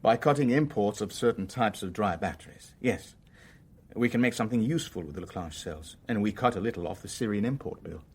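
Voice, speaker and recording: A man's voice: lowish voice, fairly quick, slightly rough. An actor playing a pragmatic, sceptical businessman in a radio drama, with a dry, understated way of talking. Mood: dry, doubtful. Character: pragmatic, wry male businessman, sceptical and worldly. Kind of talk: radio drama dialogue and first-person narration.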